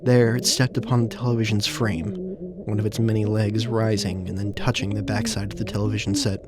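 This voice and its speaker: A man acting as an uneasy protagonist who narrates a surreal audio drama in deliberate, literary, descriptive phrases. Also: on the low side, flat delivery, over a noisy crowd, close to an everyday voice. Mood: uneasy, intent. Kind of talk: scripted first-person audio drama narration.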